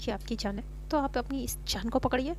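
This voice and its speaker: A woman's voice: on the high side, moderate pace, a little hiss or hum, lightly acted. A woman voicing an annoyed male mechanic, curt and scolding, with little change from her own voice. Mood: irritated. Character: irritated, blunt male motorbike mechanic.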